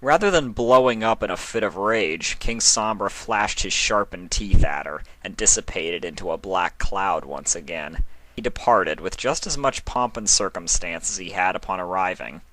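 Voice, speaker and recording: Man, story narrator, lowish pitch, crisp bright forceful tone, dry close recording. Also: moderate pace, dry recording, poor audio.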